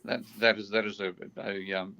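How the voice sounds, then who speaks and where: A tired man whose voice is getting croaky, explaining ideas in a live online discussion, thinking aloud with halting restarts. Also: on the low side, little pitch movement, bright, forceful tone. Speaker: guest economist. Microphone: video call.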